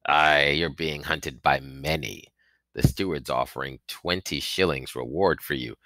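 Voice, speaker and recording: Man as stern old crone, rasping, curt, commanding, low pitch, storybook character. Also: unhurried, a bit bright, no room sound, exaggerated voice. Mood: grim, brusque. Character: old village wise woman, stern and brusque.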